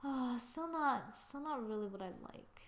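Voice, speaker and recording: Young woman doing a laid-back screen tutorial, highish voice, kinda mumbling to herself as she goes. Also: animated, unhurried. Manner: informal, thinking aloud, muttering asides and sing-song filler. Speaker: tutorial presenter. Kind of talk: casual screen-recorded software tutorial. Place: indoors, voice-over to a screen recording.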